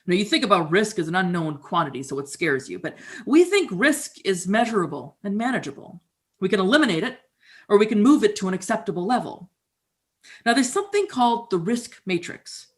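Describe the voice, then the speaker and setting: A woman's voice: mid-range voice, big pitch swings, a little fast, lightly acted. A woman voicing a confident male oil engineer who explains things in a matter-of-fact way. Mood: assured.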